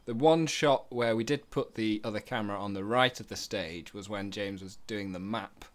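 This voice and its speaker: A man's voice: lowish voice, normal speed. A man giving casual, jokey behind-the-scenes commentary as co-creator of a show.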